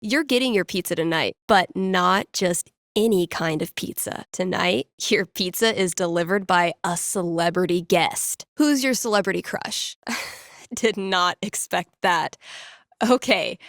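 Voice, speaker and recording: A woman's voice: lowish voice, a little slow, fairly bright tone, dry recording. Young female radio dj from a video game, chill n playful, slangy wry patter, super dry close studio sound. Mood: wry, mock-dramatic. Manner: wry, slangy, mock-dramatic. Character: laid-back, playful young woman radio DJ doing fake psychic predictions. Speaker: call-in radio show host.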